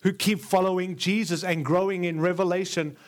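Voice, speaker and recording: High-voiced man preaching with an Australian accent. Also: fairly even intonation, brisk. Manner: earnest, emphatic, repeats phrases for build. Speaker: guest preacher. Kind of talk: sermon. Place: large church before a live congregation.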